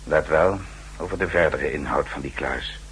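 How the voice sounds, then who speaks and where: Menacing butler-spy for a radio play: very low, measured, deferential, on a faintly humming old recording. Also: male voice, a bit bright, a little hiss or hum, poor audio. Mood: polite, menacing. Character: formal male manservant, polite and quietly menacing secret agent. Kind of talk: 1960s Dutch radio drama acting.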